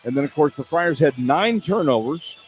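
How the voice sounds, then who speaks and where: Man, fairly high voice, radio play-by-play announcer, sports broadcast delivery, music behind. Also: expressive, normal speed. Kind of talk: sports broadcast commentary with statistics.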